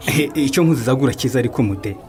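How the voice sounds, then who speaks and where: An actor playing a hard-nosed food seller who teases and brushes people off in a radio drama. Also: male voice, medium pitch, expressive, racing, a crowd in the background, lightly acted. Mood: dismissive. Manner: teasing, dismissive.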